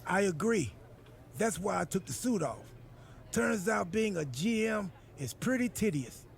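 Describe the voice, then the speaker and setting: An actor playing a quirky, self-important general manager in a wrestling video game, rambling and absurdly literal in a gravelly voice. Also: male voice, animated, unhurried, slight background noise, full character voice. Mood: cheerfully oblivious. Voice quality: gravelly, high husky voice.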